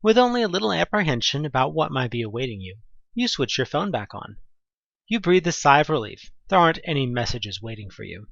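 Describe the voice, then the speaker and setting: A man narrating a horror audio play in the second person, with an expressive, suspenseful delivery. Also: medium pitch, a little fast, gravelly, no room sound, lo-fi sound.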